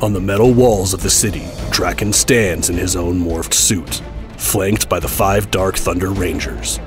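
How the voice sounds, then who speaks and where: A man's voice: deep voice, steady tone, measured pace, music in the background. A man giving scripted narration as the narrator of an audio drama.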